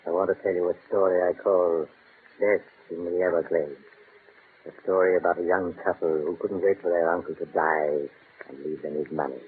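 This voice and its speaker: An actor playing a calm, sinister host of horror tales, speaking slowly and insinuatingly in 1940s radio drama style. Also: male voice, sits low, lightly acted. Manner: slow, insinuating, mock-sympathetic. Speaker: storyteller host of the show. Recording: tinny old radio transcription, faint hiss.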